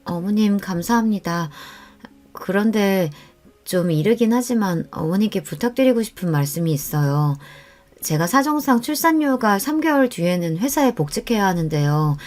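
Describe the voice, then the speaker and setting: A woman's voice: mid-range voice, animated, moderate pace, a little mellow, soft background music, close to an everyday voice. A young daughter-in-law, acted by a female narrator in a radio story. She is deferential and careful, making requests with tactful persuasion. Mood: polite, pleading.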